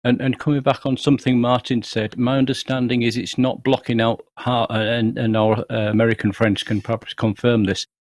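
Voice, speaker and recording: A man speaks as a podcast panelist in an average-pitched voice. His delivery is measured and the recording is free of echo. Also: flat delivery.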